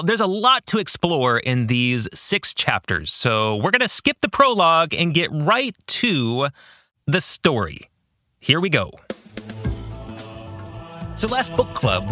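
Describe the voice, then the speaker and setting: A male podcast host with a bright, forward voice that sits a little high. His pitch moves in lively swings as he delivers scripted reflections with deliberate emphasis. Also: no room sound, studio quality. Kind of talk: scripted podcast narration with book-club reflection.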